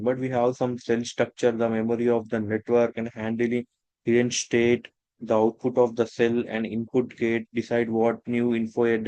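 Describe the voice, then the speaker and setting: A man teaching a technical lesson, talking in a halting way with many restarts and fillers. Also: medium pitch, darkish tone, no echo, highs cut off. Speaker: instructor. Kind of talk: technical lecture.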